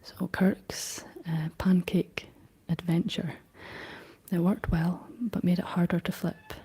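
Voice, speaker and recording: Whispering Scottish woman, dark and breathy. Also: flat delivery. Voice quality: deep for a woman. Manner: soft whispering, unhurried, gentle rambles. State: slight frog in throat. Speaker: ASMR livestream host. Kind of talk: whispered ASMR chat reading viewer comments.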